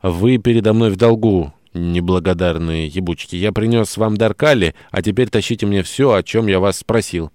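A man's voice: sits low, normal speed, few pauses, a little mellow, no echo, clean studio sound, lightly acted. A man voicing a wry, impatient male trickster hero in an audiobook, sardonic and commanding. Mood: commanding, scornful.

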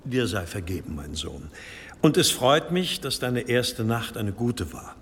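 A man playing a courteous, unctuous monastery abbot with quiet authority in a radio drama, formal, measured and gently insistent. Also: medium pitch, sing-song, big-room sound, a little hiss or hum. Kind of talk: German radio drama acting.

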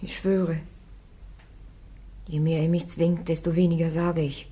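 A young woman warrior before her judges, devout and unbending, her low voice mellow and dark. She answers each question firm and calm, a radio play heroine who will not give way. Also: unhurried, breathy edge. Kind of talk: radio drama acting.

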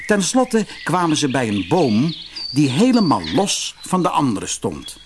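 A man narrating a fairy tale for children as an expressive storyteller. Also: fairly high pitch, measured pace, noisy background.

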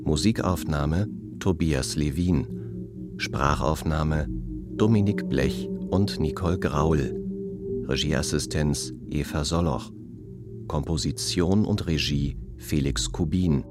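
A man reading the closing credits of a radio play in a formal, even way. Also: very deep voice, slow pace. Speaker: credits announcer. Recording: announcement over loud music.

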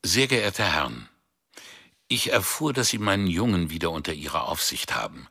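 An old, plain-spoken father in a radio drama. His deep voice is husky yet sharp, reading a card with stiff formality. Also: very animated, moderate pace, exaggerated voice. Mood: formal, earnest.

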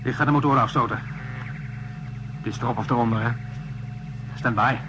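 An actor playing an impatient spaceship pilot in a radio drama, giving curt, urgent orders over a radio link. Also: male voice, mid-range voice, steady tone, fairly quick, over music. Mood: urgent, forceful. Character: commanding, impatient male spaceship pilot under pressure. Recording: narrow, noisy radio-transmission sound.

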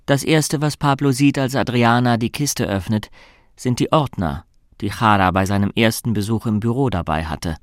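Actor as male narrator for radio play, mid pitch, dry close sound, scripted storytelling. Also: moderate pace, no echo, close to an everyday voice. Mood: measured. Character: male narrator telling the story in present tense.

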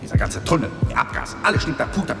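An actor playing a cornered, defensive young lawyer in a radio drama, agitated and hesitant, at times breathy. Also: male voice, fast talker, music in the background, lightly acted. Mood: distraught. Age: young adult. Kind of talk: 1980s German radio drama acting.

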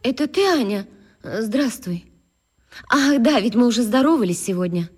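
Worried schoolteacher, high animated voice, agitated, radio play, long reverb. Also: female voice, moderate pace, slightly rough. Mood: puzzled, distracted. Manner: agitated, expressive. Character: flustered, worried, well-meaning schoolteacher woman. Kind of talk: children's radio play acting.

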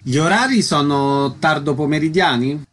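A man's voice: fairly high pitch, moderate pace, a bit bright, slight background noise. A man working as a radio interviewer, presenting and asking questions about arts events.

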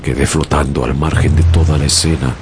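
An actor narrating as a young sailor who survived a shipwreck, in grave, measured storytelling. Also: male voice, very deep voice, fairly even intonation, noisy background. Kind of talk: dramatic audiobook narration. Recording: loud music bed under narration.

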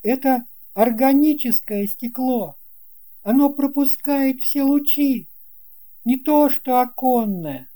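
A narrator voicing a calm, friendly, brave young pilot in a storybook, assured and laconic. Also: sits high, slow, voice pushed up. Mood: calm, confident.

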